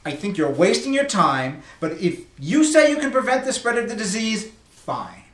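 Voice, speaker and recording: A man's voice: high voice, animated, normal speed, fairly bright tone. A man playing a genteel, sceptical master of a wealthy house, fussy and proper, in old-time radio style. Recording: roomy, echoing room.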